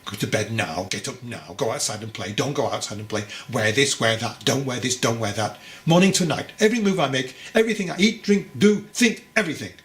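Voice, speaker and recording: A man's voice: medium pitch, animated, fairly quick, few pauses, fairly bright tone, roomy sound, close to an everyday voice. A man voicing a resentful, fragile young novice boy in a storybook, complaining emphatically. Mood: agitated, bitter. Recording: clearly noisy recording.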